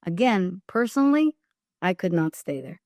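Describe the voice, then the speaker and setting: A woman's voice: on the low side, big pitch swings, normal speed, darkish tone, dry recording. A woman with an Israeli accent, a guest on an interview podcast, who speaks emphatically and repeats phrases for weight.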